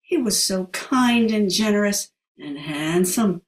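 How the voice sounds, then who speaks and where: A woman's voice: medium pitch, lively intonation, slow pace, distant mic. Echoey, dotty grand old lady, acted by a woman. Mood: rambling, nostalgic. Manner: dramatic, rambling, forgetful. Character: wistful, grand, dotty elderly female club member. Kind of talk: audio play acting. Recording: roomy echo, off the mic.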